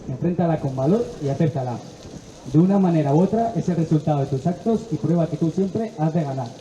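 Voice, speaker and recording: A man's voice: on the high side, warm tone. A man from Ukraine, reciting a poem earnestly and steadily at a recital. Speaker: adult student reciting poetry. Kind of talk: poetry recital. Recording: echoey hall, dull sound.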